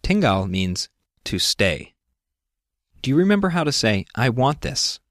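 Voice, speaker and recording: A man's voice: mid-range voice, big pitch swings, measured pace, a bit bright, dry recording, clean studio sound. A man hosting a scripted language lesson, explaining step by step and prompting the listener with questions. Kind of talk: scripted instructional narration.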